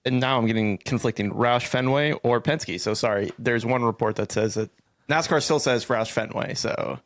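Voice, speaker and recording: A man chimes in casually as a podcast producer. His mid-pitched voice has noticeable vocal fry. The sound is close and dry. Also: normal speed, no echo. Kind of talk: casual off-the-cuff contributions.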